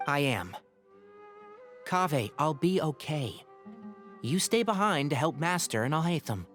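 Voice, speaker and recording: Game casting: a youthful male ranger, lively intonation, sensible and observant, music underneath. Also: on the high side, a little slow. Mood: resolute. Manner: calm, reasoning, matter-of-fact. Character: level-headed, observant young male forest ranger. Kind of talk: video game voice acting. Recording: music behind the voice.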